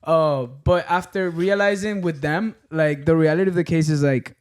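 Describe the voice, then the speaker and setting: Young Miami-accented band member, higher voice, emphatic and profane, casual podcast chat. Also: male voice, moderate pace, smooth. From Ecuador. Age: 20s. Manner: animated, emphatic, swears freely.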